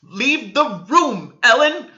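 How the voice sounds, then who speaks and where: A man's voice: very high-pitched, expressive, slow pace, pushed and bright, dull audio, pitched above the performer's own voice, heavily acted. A man voicing a willful, spiteful teenage girl in a storybook reading, with imperious exclamations. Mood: furious.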